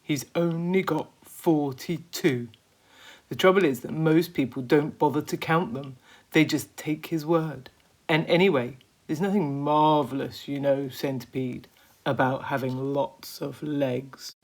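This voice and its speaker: A woman reading a children's story aloud as a teacher would, in an expressive narrating style. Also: sits low, measured pace.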